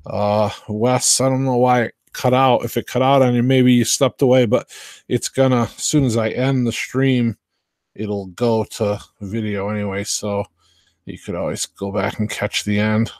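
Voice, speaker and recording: A man's voice: mid-range voice, fairly even intonation, normal speed, a little mellow, dry recording. Man chatting off the cuff, close and dry. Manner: hesitant, unpolished, trailing off. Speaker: live stream host. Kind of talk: casual rambling chat with viewers.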